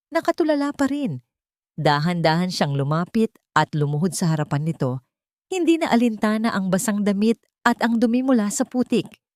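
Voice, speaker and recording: A woman's voice: fairly low pitch, a little mellow, dry recording, clean studio sound. A woman reading scripted romantic drama narration as a storyteller.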